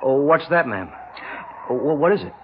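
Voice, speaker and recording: Man playing a polite, animated young handyman. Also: on the high side, moderate pace, close to an everyday voice. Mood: obliging. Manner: deferential, plain-spoken, hesitant. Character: polite, earnest young handyman, eager to please. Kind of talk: 1960s radio drama acting. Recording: muffled, noisy 1960s radio recording.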